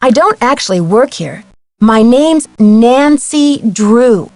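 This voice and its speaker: A woman's voice: on the high side, animated, slow, a little mellow, no room sound, clean studio sound. A woman playing a polite, inquisitive young female amateur detective in scripted video game dialogue. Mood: polite, friendly. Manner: courteous, inquisitive, clearly enunciated.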